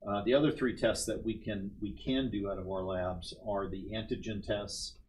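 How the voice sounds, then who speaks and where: Low-voiced man explaining in steady detail. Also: moderate pace, a little hiss or hum. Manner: steady explainer, frequent "right?" tags. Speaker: company executive guest. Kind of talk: explanatory talk show chat.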